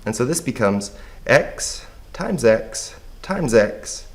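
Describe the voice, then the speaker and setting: A man with a hoarse voice, patiently explaining a math lesson step by step. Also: mid-range voice, very animated, slow. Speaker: math teacher.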